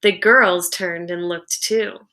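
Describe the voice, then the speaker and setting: Bright-voiced woman reading a story aloud. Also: on the low side, steady tone. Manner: deliberate, dramatic storytelling. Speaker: teacher reading a children's novel aloud. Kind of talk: expressive read-aloud narration.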